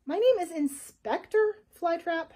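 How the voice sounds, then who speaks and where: An earnest male plant detective from a storybook, voiced by a woman reading aloud. He speaks in a plain, matter-of-fact way. His voice sits high, with a soft, mellow tone. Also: lively intonation, measured pace.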